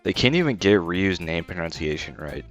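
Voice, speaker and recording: A man's voice: low-pitched, lively intonation, moderate pace, fairly bright tone, dull audio. A man reading scripted review narration as a video host, blunt, disparaging and informal. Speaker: video essay host and film critic. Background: faint music.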